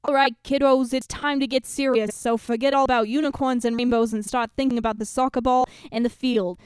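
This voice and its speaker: A woman acts out a bossy female soccer coach from a kids' story. She has a New York accent and barks out announcements loudly, pronouncing each word with exaggerated clarity. The sound is close and dry. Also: fairly high pitch, steady tone, a little fast, smooth, no room sound, lightly acted. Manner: loud, over-enunciated announcing.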